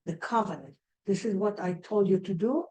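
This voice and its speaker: An older woman with an Israeli accent lectures in a mellow, warm voice. She works through her ideas step by step and often restarts a phrase before finishing it. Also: medium pitch, moderate pace. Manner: explaining step by step, with frequent restarts. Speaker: astrology lecturer.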